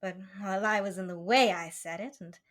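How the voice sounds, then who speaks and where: An actress playing a slick, deceitful femme fatale, theatrically pleading and hesitant, in a 1940s-style radio drama. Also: female voice, medium pitch, lightly acted. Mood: guarded, earnest. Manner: breathy pauses, theatrical pleading with a throb.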